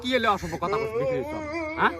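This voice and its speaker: A man's voice: extremely high pitch, animated, very fast, a loud crowd. A man playing a crafty older farmer in a village comedy skit, grumbling and arguing in a folksy rural North Bengal accent. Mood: defensive, persuading. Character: crafty, hard-working older village farmer, touchy about bribes.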